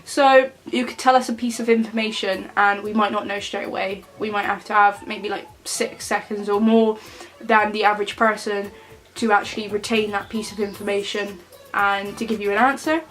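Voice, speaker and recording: A woman's voice: mid-range voice, fairly even intonation, normal speed, a bit bright, poor audio. Twenty-something woman vlogging, stuffy nasal voice from a cold, lots of ums, faint music behind. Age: 20s. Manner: chatty, plain-spoken, many fillers. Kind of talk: casual talk to camera.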